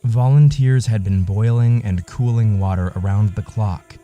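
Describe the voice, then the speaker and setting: A man narrating a scripted literary audio story in a measured, solemn manner. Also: fairly low pitch, warm tone, faint music behind.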